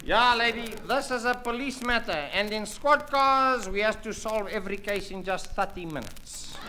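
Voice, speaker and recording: A man's voice: sits high, normal speed, heavy background noise, lightly acted. A man playing a dim-witted police constable with a South African accent in a radio comedy. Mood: dopey.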